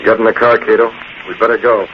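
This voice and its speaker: Actor playing a cool, low-voiced masked vigilante. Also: male voice, fast, close to an everyday voice. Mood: cool, dry. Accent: American. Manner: calm, dry, controlled. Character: cool, resolute masked American vigilante hero. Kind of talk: 1940s radio acting. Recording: muffled, noisy 1940s radio recording.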